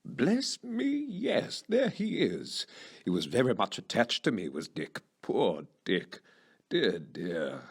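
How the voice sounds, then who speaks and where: An actor playing an old miser moved by his memories in a storybook reading, husky, wistful and exclamatory. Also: lowish voice, wildly swooping pitch, slow, full character voice.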